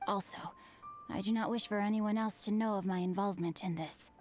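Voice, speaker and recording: A woman voicing a refined, bookish teenage boy swordsman in a video game, speaking in a formal, flowery, high-minded way. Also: steady tone, brisk, darkish tone, soft background music, natural voice. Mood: assured.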